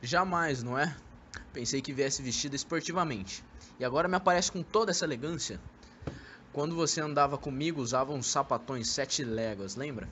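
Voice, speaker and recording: A man's voice: mid-range voice, a little fast, a bit bright, natural voice. A man with a Brazilian accent acting a jovial, sly young suitor in an amateur radio drama, sweet-talking and teasing. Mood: teasing, flattering. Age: young adult. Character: jovial, sly, pleading young man wooing his ex-lover. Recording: noisy amateur recording.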